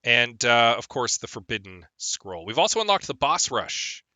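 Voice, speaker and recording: A man's voice: medium pitch, big pitch swings, normal speed, sharp tone, dry recording. A young man chatting casually while streaming a game, rambling with lots of ums, a bit phlegmy from a lingering cold. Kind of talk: casual gameplay stream chat.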